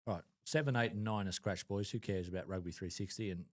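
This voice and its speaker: A low-voiced man steers a casual sports podcast, moving briskly from one point to the next. He talks fast and keeps things moving.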